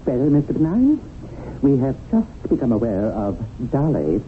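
A man's voice: mid-range voice, huge pitch swings, moderate pace, phone-quality sound. A man playing a prissy, sceptical otherworldly supervisor in a 1970s radio drama, speaking in an arch, questioning way. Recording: thin, noisy 1970s radio recording.

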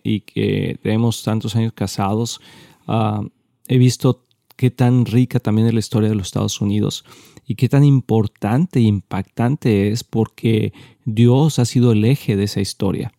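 Playful Mexican man, mellow dry voice. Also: lowish voice, fairly even intonation, normal speed, gravelly, no echo. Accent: Mexican Spanish. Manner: playful, laughs often, restarts mid-phrase. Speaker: co-host.

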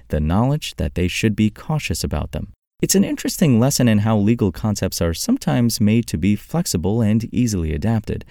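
A man narrating a scripted tech news article as a podcast host, in a clearly articulated, measured read-aloud delivery. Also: on the low side, mellow, dry recording, studio quality.

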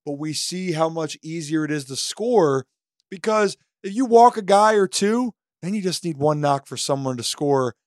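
A man with a fairly high, slightly hoarse voice talks through baseball analysis in animated bursts. The sound is dry and close, with no room around it. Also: moderate pace, no room sound. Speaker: co-host. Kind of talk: sports podcast analysis.

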